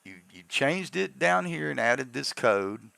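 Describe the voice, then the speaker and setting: A man with a gravelly voice narrates a software tutorial in an informal, rambling step-by-step way, often checking in with an "okay". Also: medium pitch, big pitch swings, a little slow, dry recording. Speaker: tutorial host.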